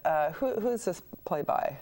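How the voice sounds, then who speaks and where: A woman hosting a casual interview, chatty and quick to chime in with encouragement. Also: lowish voice, expressive, a little mellow. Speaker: talk show host.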